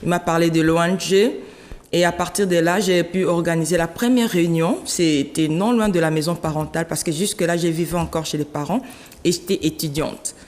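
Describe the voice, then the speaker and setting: A woman in her late thirties from the Democratic Republic of Congo, telling her story as an interview guest, animated and laughing often. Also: lowish voice, a little fast. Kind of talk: conversational interview. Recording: long hall reverb.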